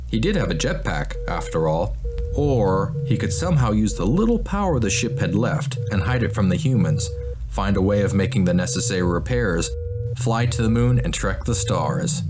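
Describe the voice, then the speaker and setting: Male audiobook narrator: fairly low pitch, scripted storytelling, music bed underneath. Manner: storyteller delivery. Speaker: author and narrator. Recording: music behind the voice.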